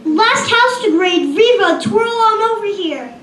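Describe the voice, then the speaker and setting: A woman's voice: very high-pitched, lively intonation, measured pace, a bit bright. A female narrator reading a scripted children's story for a puppet show. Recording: echoey hall, distant mic, clear noise.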